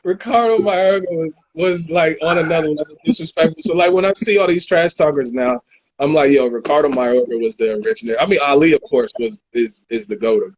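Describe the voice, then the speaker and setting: Husky guy in his 30s, kinda high voice, chill slangy boxing talk. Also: fairly quick, rough recording. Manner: laid-back, calls people dog and bruh. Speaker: podcast co-host. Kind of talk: casual chat.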